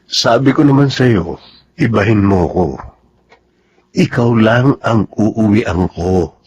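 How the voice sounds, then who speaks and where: Low-voiced Filipino soldier husband, muffled. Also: male voice, slow pace, darkish tone. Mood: reassuring. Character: devoted young soldier husband, steady, reassuring. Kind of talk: Filipino radio drama acting. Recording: muffled, noisy radio drama audio.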